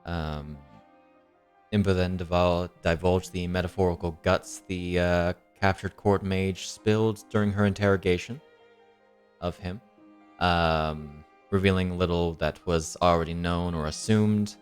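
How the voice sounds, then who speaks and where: Deep-voiced, slightly nasal man running the game. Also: fairly even intonation, unhurried, smooth. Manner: rambling with many ums and uhs. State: recovering from illness and tooth surgery. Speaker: dungeon master. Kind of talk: streamed tabletop game hosting and recap. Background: soft background music.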